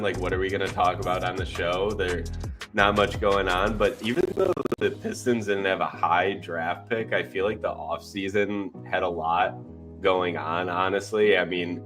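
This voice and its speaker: Male co-host, very low voice, slightly stuffy, relaxed and laughing, faint music behind. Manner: easygoing, laughs often, hesitant restarts. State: a little congested. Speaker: podcast co-host and sports writer. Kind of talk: casual sports podcast chat.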